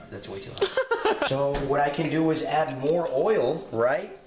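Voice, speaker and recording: A man's voice: on the high side, huge pitch swings, slow pace, reverberant, over a noisy crowd. A young man filming himself cooking in a home kitchen, explaining casually and swearing with cocky confidence. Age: young adult. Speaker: home cook vlogger. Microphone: handheld camera nearby.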